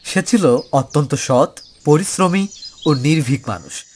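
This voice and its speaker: A man's voice: a little high, lively intonation, unhurried, a little mellow, slight background noise. A man narrating a scripted horror story in a dramatic manner.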